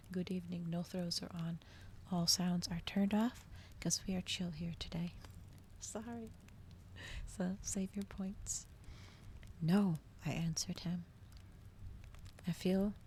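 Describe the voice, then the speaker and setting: A woman doing a soft, whispered ASMR reading as a streamer, slow and breathy, in a hushed manner. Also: on the low side, slight background noise. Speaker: streamer host reading aloud.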